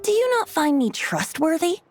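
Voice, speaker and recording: A woman's voice: high voice, very animated, moderate pace, dry recording. A woman voicing a playful, secretive young male bard in a video game cutscene, talking in a lilting, teasing way. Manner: lilting, teasing, then soft and solemn. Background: game soundtrack music.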